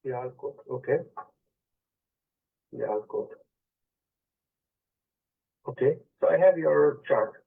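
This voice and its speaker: A man's voice: medium pitch, takes it slowly, creaky, long pauses, slightly rough. A male radio astrology host taking a caller, explaining the chart and switching between English and Hindi phrases. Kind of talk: call-in radio consultation. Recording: tinny phone-line sound.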